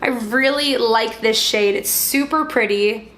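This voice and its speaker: Woman, young adult, somewhat high voice, stuffy and a bit worn, chatty, filler-heavy. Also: a little slow, fairly bright tone. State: stuffy, voice partly gone from a cold. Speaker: beauty vlogger. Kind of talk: casual product review.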